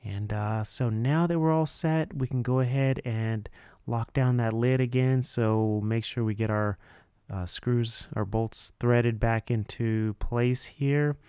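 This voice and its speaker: A man's voice: medium pitch, slow, dark tone, clear, resonant tone, no room sound, studio quality. A man narrating a hands-on how-to in an informal, unscripted way, explaining each step casually. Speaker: presenter of a DIY how-to video.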